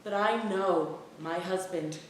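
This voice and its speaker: A woman's voice: fairly low pitch, takes it slowly, slight background noise. A woman playing a pious, guilt-ridden Puritan wife and mother, delivering an earnest, impassioned stage monologue. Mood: anguished, earnest. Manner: earnest, impassioned, swelling from hushed to loud. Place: playhouse stage with live audience. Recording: distant mic in very echoey hall.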